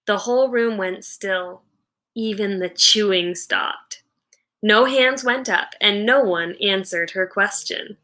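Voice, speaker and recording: Bright-voiced woman reading a story aloud. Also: mid-range voice. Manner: deliberate, dramatic storytelling. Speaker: teacher reading a children's novel aloud. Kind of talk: expressive read-aloud narration.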